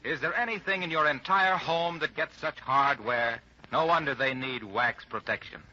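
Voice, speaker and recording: A man's voice: mid-range voice, moderate pace, sharp tone. A man reading a 1940s radio commercial as an announcer, with an emphatic, polished sales delivery. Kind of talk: 1940s radio advertising read. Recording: dull old radio broadcast, faint music.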